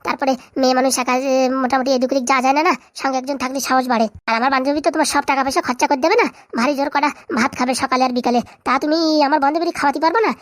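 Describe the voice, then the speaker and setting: A woman's voice: sits high, steady tone, racing, no echo, clean studio sound, natural voice. A woman voicing a sly, bossy young girlfriend in a comic cartoon, glib and colloquial with a Bengali accent. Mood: glib, cajoling. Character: sly, bossy young woman scheming to fleece her boyfriend.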